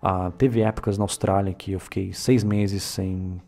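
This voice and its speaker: A Brazilian man in his thirties, telling his story in a relaxed, casual way with many filler tags and small self-corrections. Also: sits low, moderate pace, creaky. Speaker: vlogger. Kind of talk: casual personal narration to camera.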